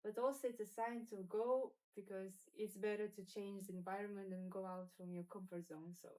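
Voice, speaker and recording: A woman of middle pitch chatting at a roundtable. She speaks earnestly, with frequent fillers and slightly non-native phrasing. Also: steady tone, fairly quick, dark tone, poor audio. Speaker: trainee. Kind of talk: casual roundtable chat.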